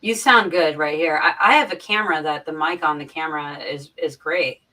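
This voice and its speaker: A woman talking casually on a livestream, explaining things informally and haltingly, often repeating her phrases. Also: low voice, bright, forceful tone. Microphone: boom mic, sitting back from it. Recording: distant from the microphone.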